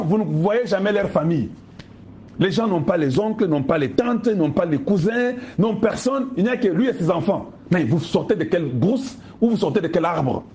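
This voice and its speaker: A man's voice: sits high, dark tone, a little hiss or hum. A man from Congo with a Congolese French accent, giving an impassioned political monologue to viewers, emphatic and full of pointed rhetorical questions. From Democratic Republic of the Congo. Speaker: political commentator.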